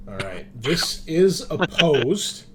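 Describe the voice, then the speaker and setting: A man narrating and refereeing a tabletop role-playing game as game master, often halting and rephrasing himself as he goes. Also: on the high side, very animated, over a noisy crowd. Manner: halting, self-correcting narration.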